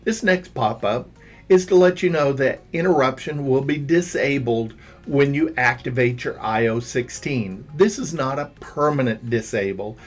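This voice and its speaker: A man reading a scripted instructional narration as a tutorial narrator, guiding the listener step by step. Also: medium pitch. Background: background music.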